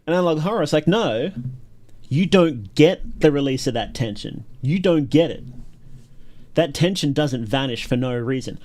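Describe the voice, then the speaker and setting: A man's voice: on the high side, expressive, moderate pace, darkish tone. A man hosting a livestream, giving casual, enthusiastic reaction commentary with emphatic repetition. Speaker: livestream host reacting to videos.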